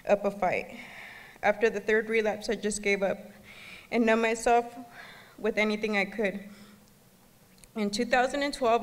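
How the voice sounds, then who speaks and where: Woman giving testimony in a reverberant hall. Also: fairly high pitch. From El Salvador. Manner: earnest, halting, occasional restarts. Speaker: gala speaker. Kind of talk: personal testimony speech. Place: gala banquet hall. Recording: long reverb of a large hall.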